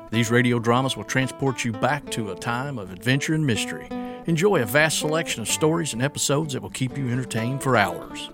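A man reading scripted promotional narration as a podcast announcer. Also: medium pitch.

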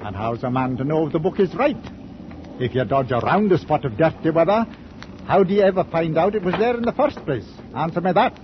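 Actor as a stubborn old sea captain, muffled vintage radio. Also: male voice, fairly high pitch, animated, fairly quick, close to an everyday voice. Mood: stubborn, commanding. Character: stubborn, plain-spoken old merchant ship captain. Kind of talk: 1940s radio drama acting. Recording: muffled noisy 1940s radio recording.

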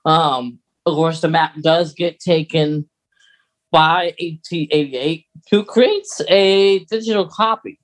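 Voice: medium pitch, takes it slowly. A podcast co-host chatting casually and informally, recapping in a conversational way. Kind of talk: casual chat.

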